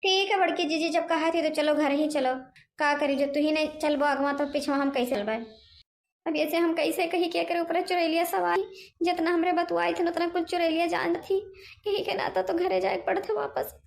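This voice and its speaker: A woman voicing an anxious, excitable younger village sister in a cartoon comedy, with an Awadhi accent. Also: very high voice, moderate pace, vocal fry. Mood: resigned, fretful. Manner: excitable, fretful.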